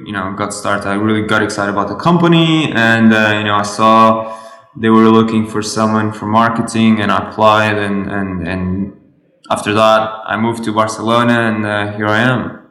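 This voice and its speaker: Halting man, echoey room. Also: on the low side, steady tone, a bit bright. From Portugal. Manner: halting, with many restarts. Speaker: podcast guest. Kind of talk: interview conversation.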